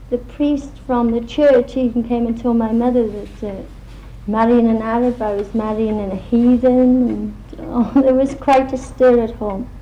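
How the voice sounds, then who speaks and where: An older Welsh woman telling her life story in a plain, unhurried way on an old recording. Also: on the high side. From Wales. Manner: plain storytelling with small laughs. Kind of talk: recorded personal reminiscence. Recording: dull old archive recording with faint hiss.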